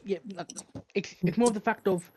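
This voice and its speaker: A podcast co-host with a middling pitch makes a case in a film debate. The talk is casual and unscripted, full of pauses and restarts while they hunt for the right words. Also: dark tone, a little hiss or hum. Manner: halting, searches for words.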